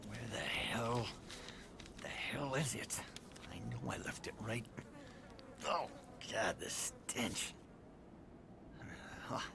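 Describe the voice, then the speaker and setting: A man's voice: medium pitch, slow, gravelly, pauses often, whispered. A man playing a bitter, sardonic estranged son of a tycoon in a video game cutscene, speaking with dry weariness. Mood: frustrated, weary. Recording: noisy game capture.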